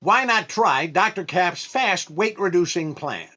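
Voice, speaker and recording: A man playing a droll, hesitant comedian and disc jockey sidekick in 1940s radio comedy, with a deliberate deadpan delivery and slightly muffled sound. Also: sits high, exaggerated voice. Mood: droll, selling.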